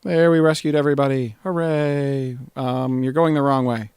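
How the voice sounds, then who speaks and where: A man co-hosting a gaming video with casual, tongue-in-cheek commentary and deadpan explanations. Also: medium pitch, normal speed, clear, resonant tone, no echo. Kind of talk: casual bantering game commentary. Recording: faint room noise, game sounds behind.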